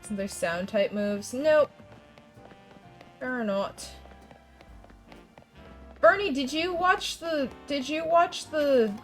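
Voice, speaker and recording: Brief: young woman game streamer, stuffy congested voice, rambling casual chat, faint game music behind. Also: on the high side. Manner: chatty, rambling, frequent laughs and restarts. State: congested, fighting a cold. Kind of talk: casual gameplay chat with viewers.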